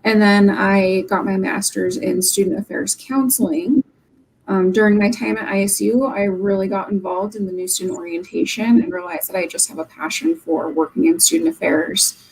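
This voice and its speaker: A woman's voice: mid-range voice, normal speed, creaky, darkish tone, low-quality recording. A young woman from Oregon, an admissions counselor, answering interview questions earnestly from her office over a video call, with frequent um's. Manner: earnest, fillers of um between points.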